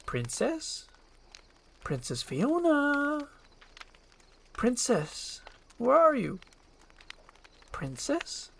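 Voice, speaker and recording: Woman playing an excitable donkey sidekick, swooping pitch. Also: very slow, gravelly, long pauses, a little hiss or hum, full character voice. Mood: uneasy. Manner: blunt, talkative, exclamatory. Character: excitable, chatty male donkey sidekick, easily spooked. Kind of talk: animated film script read aloud.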